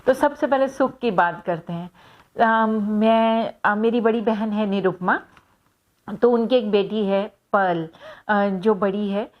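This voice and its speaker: A middle-aged woman from Punjab, an actress answering fan questions in a casual, reflective way with many pauses and fillers. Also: medium pitch, dull audio. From Hoshiarpur, Punjab, India. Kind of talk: casual personal talk to camera.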